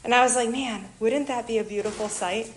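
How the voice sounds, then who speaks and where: Chicago woman, mid-high voice, chatty, animated presenter with self-deprecating asides. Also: fairly quick, slight background noise. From Illinois, USA. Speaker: missionary kids program leader. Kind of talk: informal church presentation to a group.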